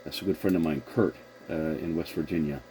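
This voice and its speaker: A man's voice: extremely low pitch, steady tone, a little fast. A man chatting casually as a CB radio operator, agreeable and a little hesitant, with a noisy recording. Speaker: CB radio operator hosting the contact.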